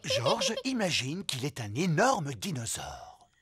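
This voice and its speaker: A man's voice: a little high, huge pitch swings, takes it slowly, heavy background noise. A man narrating a French cartoon dub in a storytelling manner.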